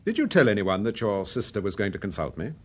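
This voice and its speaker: A suave, husky British gentleman detective. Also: on the low side, very animated, fast. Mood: businesslike. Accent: British, Received Pronunciation. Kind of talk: radio drama acting.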